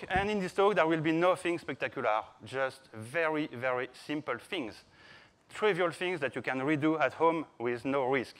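A man's voice: fairly high pitch, fairly bright tone. A man in his thirties with a French accent, giving a playful, informal conference talk to an audience. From France. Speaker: conference speaker, software developer. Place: large conference hall stage, indoors.

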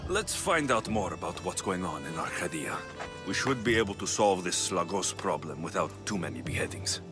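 Hot-tempered ancient warrior for a video game: tough, blunt, demanding, music underneath. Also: male voice, low-pitched, big pitch swings, moderate pace. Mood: firm.

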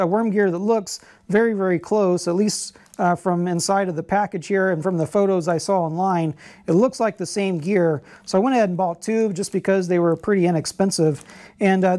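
A middle-aged man hosting a how-to repair video in his workshop garage, talking in a relaxed, explanatory way with lots of "uh" and "um," on a lapel mic. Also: high-pitched. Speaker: channel host.

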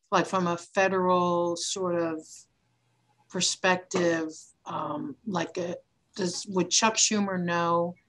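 Slow, halting woman in a meeting. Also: lowish voice, a bit bright. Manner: halting, thinking aloud. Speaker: meeting participant. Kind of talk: meeting discussion. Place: video conference meeting.

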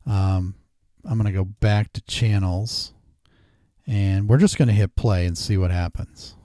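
A man hosting a casual screen-recorded software tutorial, explaining each step informally. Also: on the low side, a little slow, no room sound, clean studio sound. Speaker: tutorial host. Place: indoors at a desk.

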